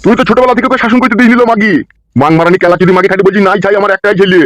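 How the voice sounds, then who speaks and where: A hot-tempered middle-aged father played by a man rages through a comic cartoon in a fairly high voice. He harangues and curses at a very rapid clip, scolding without restraint. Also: noisy background, close to an everyday voice. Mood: furious scolding. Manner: abusive, cursing, haranguing. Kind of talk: comic Bengali cartoon dub.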